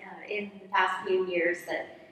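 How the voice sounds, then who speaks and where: A middle-aged woman priest leads a gentle interview in a large church sanctuary, often rephrasing her questions. Her voice rings through a very echoey hall with a long reverb tail and a faint murmur of room noise. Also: lowish voice, level tone, a little slow.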